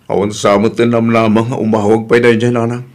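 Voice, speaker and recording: A man's voice: fairly low pitch, little pitch movement, brisk, darkish tone, natural voice. A man acting a weary, earnest husband and father in an audio drama, with an Ilocano accent and a plaintive way of talking. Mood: resigned.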